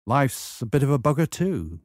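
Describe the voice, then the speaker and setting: A man reads a memoir in a measured, wry storytelling manner. His pitch sits in the middle range but moves around in a lively way. The sound is close and dry, with very little room on it. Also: no room sound. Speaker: memoir reader. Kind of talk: scripted memoir narration.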